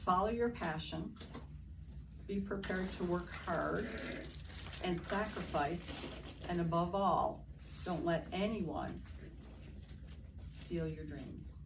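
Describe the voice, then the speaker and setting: A woman, a real estate agent giving a chatty, animated live seminar talk in an office meeting room. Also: fairly low pitch, takes it slowly, long pauses. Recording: distant mic with room noise.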